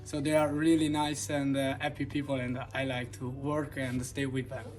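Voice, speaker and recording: A man giving a casual video testimonial, pausing often to search for words. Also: medium pitch, completely flat delivery, music in the background. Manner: searching for words with many fillers.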